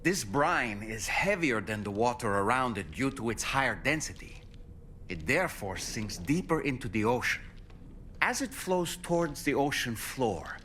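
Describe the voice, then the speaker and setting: An actor playing a thoughtful, scholarly man who explains things in a measured, precise way in a video game cutscene. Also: medium pitch, pushed and bright, slight background noise. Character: thoughtful, scholarly male companion explaining strange phenomena.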